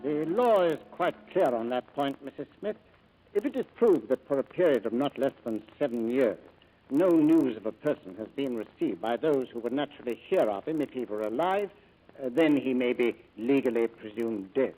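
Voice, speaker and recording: Formal, mellow-voiced lawyer played by a man. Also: mid-range voice, animated, natural voice, low-quality recording. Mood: formal, businesslike. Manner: formal, deliberate. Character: formal, measured lawyer, dry and businesslike. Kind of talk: 1940s radio acting.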